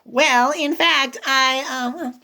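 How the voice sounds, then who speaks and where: Woman voicing an eager, high-pitched little storybook pig. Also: fairly even intonation, extremely slow, bright, forceful tone, heavily acted. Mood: hesitant, earnest. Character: eager, caring young female pig, a devoted friend. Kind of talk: children's picture book read-aloud.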